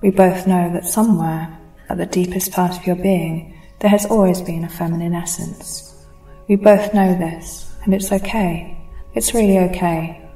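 A woman hypnotist speaks in a slow, hushed and soothing way, her low voice staying flat and even. Her tone is mellow and warm. She sounds a little set back in a roomy space, with faint music under her. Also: recorded from a distance. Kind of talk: scripted hypnosis recording.

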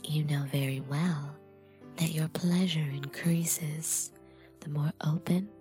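A woman with a low, mellow voice, breathy and often dropping to a whisper. She speaks with slow, deliberate authority, as a dominant hypnotist reading a script. Faint music sits underneath. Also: fairly even intonation. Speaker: erotic hypnosis performer. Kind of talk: erotic hypnosis audio, scripted.

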